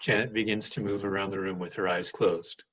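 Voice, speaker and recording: A man with a husky voice reading stage directions as scripted narration for a play. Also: low voice, steady tone, fairly quick, fairly bright tone.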